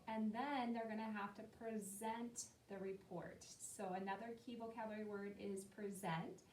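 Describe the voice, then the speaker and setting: A woman teacher explaining key words to young students, slowly and patiently, and asking them questions. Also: a little mellow. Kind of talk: classroom lesson talk to young students. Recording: roomy and distant, faint rumble.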